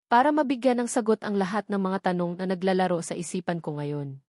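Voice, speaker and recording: A woman's voice: mid-range voice, fairly quick, darkish tone, no room sound, clean studio sound, lightly acted. A woman with a Filipino accent voicing a remorseful young wife in an audiobook romance, earnest and pleading.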